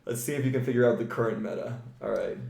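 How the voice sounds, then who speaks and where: A man's voice: medium pitch, fairly even intonation, fast, creaky, a little mellow. A man hosting a podcast in casual, informal conversational speech, often peppering it with "like". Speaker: podcast host. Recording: roomy, echoing room sound.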